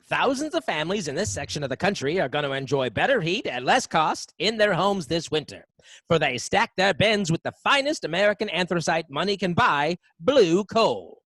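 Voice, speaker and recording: Man as 1930s radio pitchman, earnest, emphatic, lively intonation, dry close sound. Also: moderate pace, dry recording. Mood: salesmanlike. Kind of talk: old-time radio advertisement.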